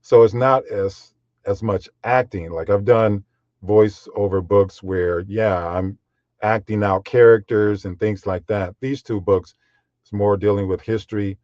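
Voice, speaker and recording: A deep-voiced man sits for a podcast interview about his voiceover work. He talks in a measured, unhurried way, with plenty of fillers. His low voice comes through slightly muffled and very dry. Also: steady tone, darkish tone, dry recording. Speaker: guest voiceover artist.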